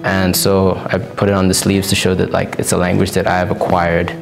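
Student presenter, late teens, male; very low, level delivery with a gravelly edge, lapel mic, guitar music underneath. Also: normal speed, low-quality recording. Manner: relaxed, explanatory, frequent "like" fillers. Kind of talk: presenting his language portrait. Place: classroom indoors.

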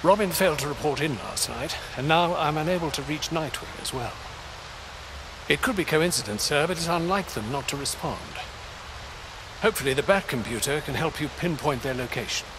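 An actor playing an elderly British butler, formal, polite and dryly understated, in a video game. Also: male voice, a little high, lively intonation, brisk, noisy background. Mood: grave. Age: older.

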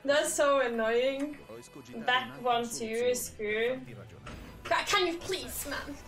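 A young woman live-streaming a game, reacting in an excitable, exasperated, sweary way. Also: high-pitched, slow pace, reverberant, distant mic, music in the background. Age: young adult. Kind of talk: live gaming reaction chat. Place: indoor gaming setup on a livestream.

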